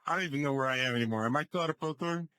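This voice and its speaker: A man's voice: a little high, very fast, pushed and bright, clean tone, no room sound, clean studio sound. A man doing color commentary on a live card game match, playful, teasing and sarcastic.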